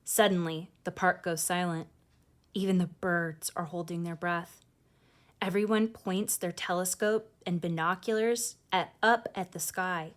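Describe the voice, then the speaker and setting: Unhurried woman reading a children's story aloud. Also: fairly low pitch. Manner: animated, conversational, explains characters' feelings to young listeners. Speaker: teacher reading a picture book aloud to children. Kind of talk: expressive children's read-aloud with casual explanatory asides.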